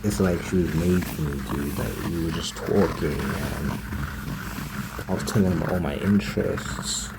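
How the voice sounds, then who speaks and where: Very deep, creaky man, casually storytelling. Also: normal speed. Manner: hesitant, repetitive, slangy storytelling. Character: smitten, naive young man gushing about his new girlfriend. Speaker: ASMR roleplay performer. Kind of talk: ASMR roleplay monologue, casual chat. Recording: lo-fi ASMR with loud rumbling noise.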